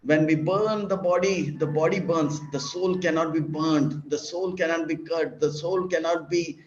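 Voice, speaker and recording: A man's voice: a little high, steady tone, moderate pace, a little hiss or hum. A man giving a sermon, preaching in repetitive, list-like emphatic phrases and sometimes acting out dialogue. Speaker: monk and preacher. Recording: roomy, dull lecture recording.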